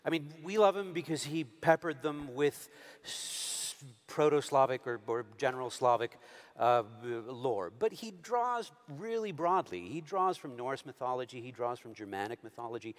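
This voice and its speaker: A man on a panel talks about writing, pausing and stammering as he chooses his words. His pitch is mid-range, and a long hall reverb surrounds the voice. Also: lively intonation. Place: large convention hall.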